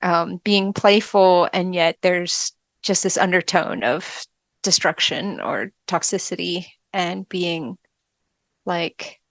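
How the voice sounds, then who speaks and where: A woman's voice: on the low side, takes it slowly, creaky, fairly bright tone, no echo. A woman chatting casually as a podcast guest, informal and often padding her sentences with filler words. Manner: informal, hedging with frequent "like". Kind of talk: casual chat.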